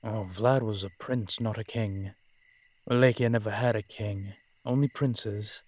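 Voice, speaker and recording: Guy doing an old vampire warlord for rp audio, lowish voice, really slow n courtly, proud, protective, talks right to the listener. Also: steady tone, a little mellow. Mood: protective, resolute. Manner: measured, archaic, courtly phrasing with dramatic pauses. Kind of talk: roleplay audio drama, addressed intimately to one listener.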